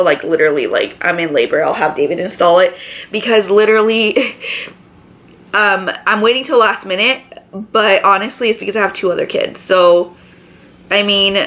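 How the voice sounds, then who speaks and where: A pregnant young woman with a raspy, allergy-congested voice, chatting casually to camera from her living room couch, full of filler words. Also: medium pitch, pushed and bright. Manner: chatty, lots of filler words, gestures with both hands. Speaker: vlogger. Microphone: camera in front, medium framing.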